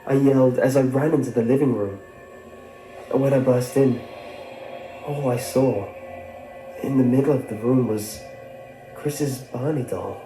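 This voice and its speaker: Male storyteller for scripted horror narration, roomy reverberant sound, music clearly underneath. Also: mid-range voice, a little slow, long pauses. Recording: echoey, clear music behind.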